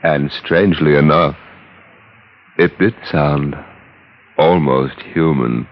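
A man's voice: rumbling low, slow. An actor narrating an old-time radio drama as a grave, ominous male storyteller. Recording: very noisy old radio recording.

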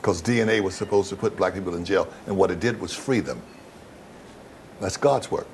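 Blunt, low-voiced man being interviewed. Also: brisk, a little hiss or hum. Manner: blunt, emphatic, repeats phrases for stress. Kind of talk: candid interview conversation.

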